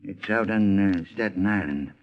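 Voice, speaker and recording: An actor playing an uneasy older night watchman who speaks haltingly and humbly in an old radio detective drama. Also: low voice, slight background noise. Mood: nervous, humble. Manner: halting, hesitant, stammering. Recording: tinny, band-limited old radio recording.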